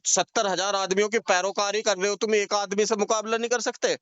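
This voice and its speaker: A man's voice: sits high, flat delivery, brisk, fairly bright tone, clean tone, dry recording. A man arguing heatedly on a recorded phone call, insistent, accusing and repeating his points in colloquial speech. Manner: confrontational, insistent, accusing, repetitive.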